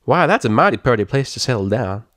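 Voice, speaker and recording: A man's voice: medium pitch, sing-song, fast, no room sound, clean studio sound, pitched above the performer's own voice. A man voicing a friendly, motherly country pony mare with a folksy Southern US drawl in a story reading. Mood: helpful, assured. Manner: folksy, warm-hearted, fussing over others. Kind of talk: character voice in a fan fiction reading.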